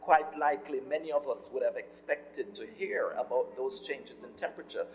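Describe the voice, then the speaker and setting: A man from Jamaica with a Jamaican accent, speaking as a panelist in measured conference remarks with frequent "um" fillers. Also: medium pitch. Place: conference hall. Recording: hall reverb, faint room noise.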